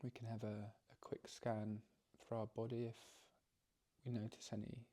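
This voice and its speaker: A man leading a guided meditation as its teacher, speaking calmly and gently, haltingly, with frequent pauses and repeated phrases. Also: fairly low pitch. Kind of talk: guided meditation, softly instructive.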